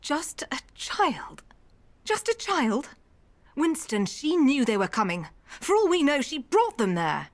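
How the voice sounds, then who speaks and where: A woman's voice: high-pitched, sing-song, slow, full character voice. An actress playing a suspicious, hostile woman in a game, snapping and accusatory. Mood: angry, accusing.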